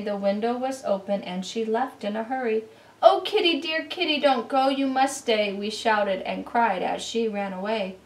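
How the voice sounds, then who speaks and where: A middle-aged woman giving an expressive, deliberate picture-book read-aloud as a children's presenter. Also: a little high. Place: indoor living room beside a Christmas tree. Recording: roomy, distant camera microphone.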